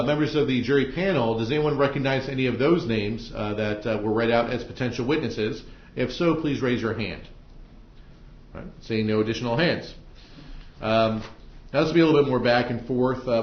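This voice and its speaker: An American man presiding as judge, giving semi-formal instructions to jurors with frequent ums and uhs. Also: mid-range voice. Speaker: judge presiding over jury selection. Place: indoor courtroom. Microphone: room microphones in the ceiling. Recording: dull, echoey courtroom microphone pickup.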